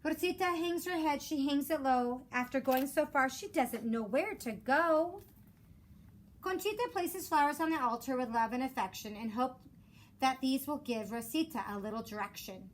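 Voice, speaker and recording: Female teacher, high-pitched, expressive children's read-aloud, measured delivery, clear background noise. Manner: measured storytelling with rhymed cadence. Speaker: elementary school teacher.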